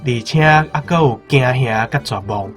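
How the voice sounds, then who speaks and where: A man's voice: medium pitch, moderate pace, soft background music. A young man with a Taiwanese accent, a student contestant working through a translation aloud. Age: young adult. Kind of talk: attempting an oral translation.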